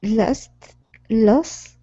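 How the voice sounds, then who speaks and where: Dark-voiced Spanish-accented female student, very slow. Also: a little high, dry recording. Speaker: English student. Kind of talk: short answers and word drills. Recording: dull, close call audio.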